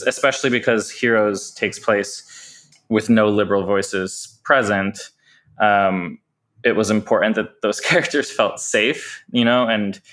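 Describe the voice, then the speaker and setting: A man's voice: fairly low pitch, a bit bright. A man speaking as a playwright in a thoughtful interview, hesitant and often correcting himself as he searches for phrasing.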